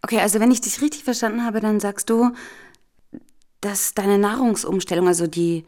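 A woman's voice: mid-range voice, steady tone, moderate pace, darkish tone. A woman talking conversationally as a questioner and co-presenter in a radio feature. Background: guitar music.

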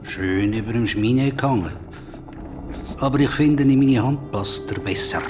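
A man's voice: mid-range voice, natural voice. A male actor playing a vengeful, mutilated worker back from the dead in a horror radio drama, coldly taunting in Swiss German. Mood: cold menace. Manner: menacing, taunting, slow-burning. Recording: loud music bed behind.

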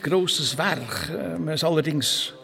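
Male, crafty travelling monk, Swiss German, slightly high voice, grandiose flatterer, radio play in echoing hall. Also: slow pace, gravelly, soft background music, heavily acted. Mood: persuasive. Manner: persuasive, grandiose, emphatic. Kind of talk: audio drama acting.

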